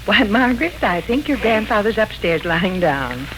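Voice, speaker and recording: A woman's voice: medium pitch, big pitch swings, normal speed. An actress playing a grandmotherly, earnest and insistent family matriarch in a 1940s radio serial. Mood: gentle. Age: older. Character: grandmotherly family matriarch, gentle but stubborn about change. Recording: noisy 1940s radio recording.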